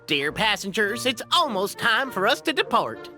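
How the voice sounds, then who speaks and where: A dutiful game train conductor, addresses passengers formally and politely. The intonation swings widely from line to line. Music is clearly heard behind the announcements. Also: female voice, a little high, sing-song, normal speed. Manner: formal, courteous, professional.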